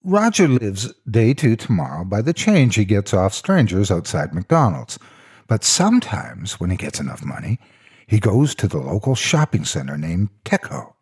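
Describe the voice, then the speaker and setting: A man's voice: lowish voice, darkish tone, slightly rough. A man narrating a scripted story in a theatrical, mock-serious storytelling style. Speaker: narrator of horror stories.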